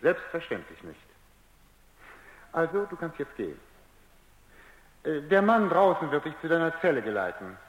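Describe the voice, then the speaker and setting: Patronising scientist with swooping pitch. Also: male voice, sing-song, normal speed, long pauses. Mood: patronising, instructive. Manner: condescending, stammers when flustered. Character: defensive, patronising male scientist, testy under questioning. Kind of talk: German radio drama acting. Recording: echoey, reverberant radio drama recording.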